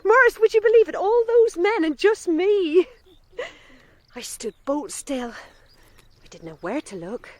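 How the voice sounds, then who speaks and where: A woman's voice: extremely high pitch, animated, measured pace, long pauses, dry recording. An actress playing a chatty, scatterbrained middle-aged country mother in a radio drama, fussing and gushing in a bossy, motherly way. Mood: excited, gushing.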